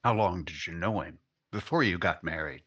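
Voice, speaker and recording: Actor as husky, earnest newspaper reporter. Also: male voice, sits low, lively intonation, moderate pace, fairly bright tone, muffled recording, exaggerated voice. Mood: earnest, resolved. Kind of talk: 1950s-style radio crime drama acting.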